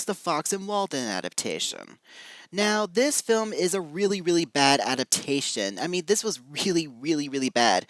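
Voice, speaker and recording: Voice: medium pitch, no room sound. Opinionated reviewer, close dry recording. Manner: opinionated, emphatic repetition. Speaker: amateur film and audio drama reviewer. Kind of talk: casual opinion commentary, ranking adaptations.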